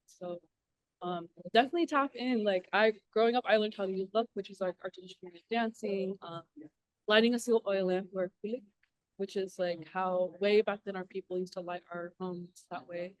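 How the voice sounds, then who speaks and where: A woman's voice: mid-range voice, fairly even intonation, moderate pace, dry recording, rough recording. A young woman from Alaska speaking on a panel in an earnest, encouraging way, with many fillers. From southwest Alaska. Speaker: panelist, engineer. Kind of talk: casual panel talk.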